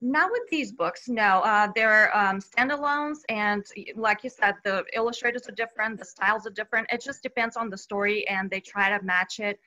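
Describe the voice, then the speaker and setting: A woman's voice: mid-range voice, moderate pace, few pauses, bright, forceful tone, poor audio. A woman from Bulgaria, a children's book author answering interview questions cheerfully, with frequent laughs and fillers.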